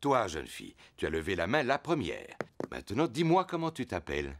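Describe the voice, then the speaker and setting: A man's voice: on the low side, huge pitch swings, brisk, background noise. A man voicing a jolly father pig playing magician in a children's cartoon dub, with a theatrical, playfully grand delivery.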